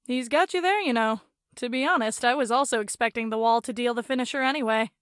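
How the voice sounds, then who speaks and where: A woman's voice: on the high side, fast talker, fairly bright tone, no echo, studio quality, close to an everyday voice. A woman playing an easygoing, teasing young female teammate in a visual novel, with a casual, breezy delivery. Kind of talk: visual novel character acting.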